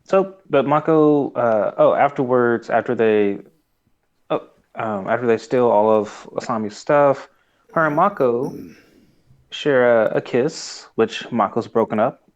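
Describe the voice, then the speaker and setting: A man's voice: mid-range voice, a little slow, lo-fi sound. A man co-hosting a podcast in casual chat about a cartoon, often acting out bits of imagined dialogue. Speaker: podcast co-host.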